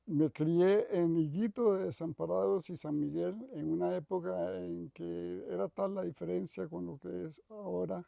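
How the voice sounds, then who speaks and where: An older man with a Costa Rican accent speaks in a measured, reflective way, stopping now and then to correct himself. His voice sits fairly high but is heavily gravelly and mellow. The recording is close and dry. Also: steady tone, dry recording. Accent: Costa Rican Spanish. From Costa Rica. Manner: thoughtful, measured, with frequent restarts and self-corrections. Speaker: retired professor interviewed. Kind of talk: reflective interview reminiscence.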